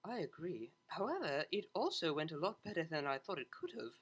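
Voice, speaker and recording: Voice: mid-range voice, very animated, fairly quick, husky. A narrator voicing a refined young mare cellist in a story, prim and proper, polite and a little hesitant. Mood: reserved.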